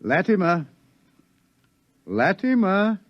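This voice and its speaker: An actor playing a grave scientist and doctor who lectures in a measured, ominous way. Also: male voice, high-pitched, sing-song, no room sound, heavily acted. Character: grave older scientist warning of doom. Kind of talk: 1950s radio acting. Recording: dull 1950s radio broadcast.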